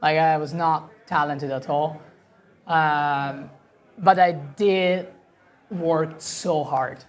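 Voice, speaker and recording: A woman's voice: low-pitched, steady tone, very slow. A woman from Italy with an Italian accent, a sailor talking casually in an interview, laughing often and acting out what people said to her. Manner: chatty, laughs often, acts out quoted dialogue. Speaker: professional sailor guest.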